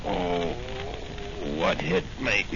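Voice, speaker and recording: An actor playing a gruff, slangy henchman thug in a 1940s radio crime serial. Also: a little high, sing-song, extremely slow, exaggerated voice. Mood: angry, dazed. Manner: rough, slangy. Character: gruff male henchman thug, dutiful to his boss. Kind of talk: 1940s radio gangster acting. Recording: noisy muffled 1940s radio recording.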